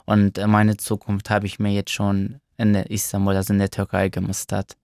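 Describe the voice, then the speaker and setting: Man, young adult, low gravelly voice, flat steady pitch, soft-spoken interview answers, dry close sound. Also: normal speed, dry recording. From Germany. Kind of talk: casual interview talk.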